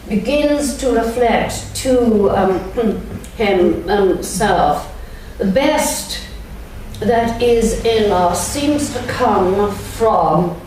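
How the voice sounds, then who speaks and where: A woman's voice: medium pitch, darkish tone. Older Englishwoman lecturing in an echoing church. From England. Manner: slow, thoughtful delivery with frequent ums. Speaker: guest lecturer. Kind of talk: church lunchtime lecture, reading quotations aloud. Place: large church. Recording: very echoey church, speaker heard from a distance, faint background noise.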